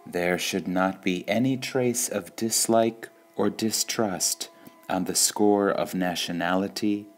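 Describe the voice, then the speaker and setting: Deep slow-voiced man reading sayings. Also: faint music behind. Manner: calm, measured, with pauses between phrases. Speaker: reader of spiritual sayings. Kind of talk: slow meditative reading of quotations.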